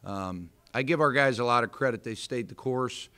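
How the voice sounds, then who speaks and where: A man's voice: medium pitch, moderate pace, sharp tone, smooth. A man in his thirties with an American accent, a head basketball coach speaking candidly at a postgame press conference, with many fillers and restarts. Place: indoor press room in front of a sponsor backdrop. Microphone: table microphone in front.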